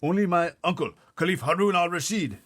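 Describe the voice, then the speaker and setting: Man doing a loud, declamatory storybook sultan. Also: sits high, expressive, a little fast, pitched above the performer's own voice. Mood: grave. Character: loud, formal, blunt Sultan of Baghdad. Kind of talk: storybook read-aloud.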